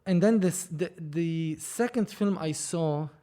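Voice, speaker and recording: Man, 30s, podcast guest, casual storytelling, animated, rambling, slightly high-pitched. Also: slow pace. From Lebanon. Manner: animated, rambling, frequent restarts. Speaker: film producer, podcast guest.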